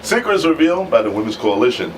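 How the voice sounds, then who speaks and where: A man's voice: mid-range voice, very animated, fast talker. A man presenting live radio with casual, playful banter, informal and given to repeating himself. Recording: noisy live radio, slightly distant.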